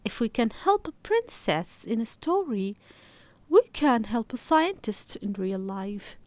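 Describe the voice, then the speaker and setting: Woman voicing a dreamy, wondering little girl, high-pitched. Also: big pitch swings, measured pace, a little mellow, dry recording. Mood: reassuring. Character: dreamy young girl, playful, curious, a little unsure.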